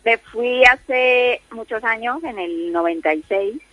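A woman's voice: fairly high pitch, takes it slowly, no echo. Middle-aged woman, Spain Spanish accent, chatty caller, dry muffled phone sound. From Colombia. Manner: chatty, fillers and restarts, lively storytelling. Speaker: listener caller. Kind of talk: casual phone chat. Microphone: phone line. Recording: dull lo-fi phone line.

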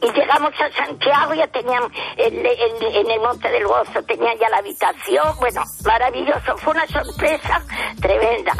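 Caller, woman in her 80s, gravelly and husky voice, phone-line sound, unhurried storyteller. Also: fairly high pitch, faint music behind. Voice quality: raspy, creaky. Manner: slow, chatty storytelling. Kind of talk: telling a personal story on the phone.

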